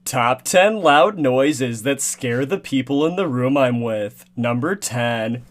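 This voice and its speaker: A man playing a deadpan countdown host, announcing an absurd top-ten list in a drawn-out mock video narration. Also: medium pitch. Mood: mock-serious.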